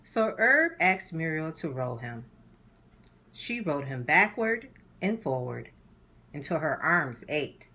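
A woman hosting a children's read-aloud, narrating a storybook in a deliberate, animated way for young listeners. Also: deep voice, husky.

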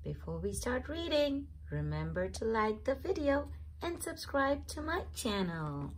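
A woman reading a children's picture book aloud as the channel's host, slowly and with clear stress on each word. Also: on the high side, sing-song, a little hiss or hum, rough recording. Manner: slow, clearly stressed storytelling.

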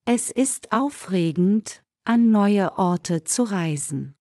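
A woman slowly reading German example sentences for learners with careful, measured delivery. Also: mid-range voice, lively intonation, mellow, no room sound, clean studio sound. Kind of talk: slow, clear model sentences for learners.

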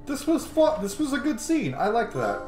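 A man's voice: very high voice, wildly swooping pitch, normal speed, a little mellow, reverberant, faint music behind. A man in his thirties giving casual, enthusiastic gameplay commentary as a streamer. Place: small room indoors.

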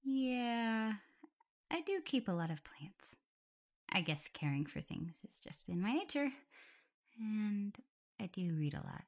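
A woman playing a gentle, playful omega werewolf in an ASMR audio roleplay, talking softly, soothingly and a little hesitantly as she looks after her partner. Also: mid-range voice, sing-song, slow, pauses often. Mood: chatty, playful. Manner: soft, soothing, hesitant, giggly. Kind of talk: soft ASMR roleplay, intimate one-sided dialogue.

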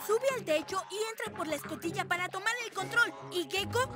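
A woman's voice: very high-pitched, brisk. A woman voicing an earnest six-year-old boy superhero leader in a cartoon dub, urgent in manner. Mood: earnest, troubled. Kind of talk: animated cartoon dub acting. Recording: loud music behind the voice.

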